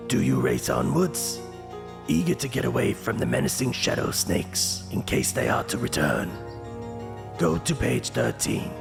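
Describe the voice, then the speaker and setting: A man's voice: medium pitch, vocal fry. A man narrating a second-person horror gamebook in a dramatic, ominous way over music. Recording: music under the voice.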